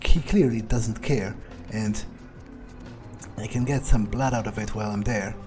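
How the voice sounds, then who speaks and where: A man's voice: fairly low pitch, fairly even intonation, unhurried, over music. A man playing a video game fully in character, talking in a dry, blunt, laconic way with some cursing. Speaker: gamer roleplaying his character. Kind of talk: in-character game roleplay commentary.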